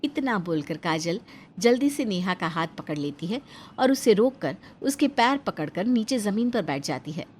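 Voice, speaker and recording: Animated woman narrating a story. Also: mid-range voice, normal speed, a little hiss or hum.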